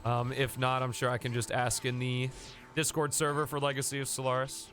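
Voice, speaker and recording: A man's voice: medium pitch, level tone, fairly quick, fairly bright tone, soft background music. Sniffly man casually streaming a game. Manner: casual, chatty, thanks viewers by name. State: sniffly with a coming cold. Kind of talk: live game commentary.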